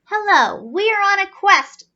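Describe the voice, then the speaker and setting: A woman's voice: very high-pitched, expressive, a little slow, bright, forceful tone, highs cut off, slight background noise, pitched above the performer's own voice, heavily acted. A woman voicing an earnest, exclaiming young robot on a quest in a children's storybook read-aloud. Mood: hopeful, polite.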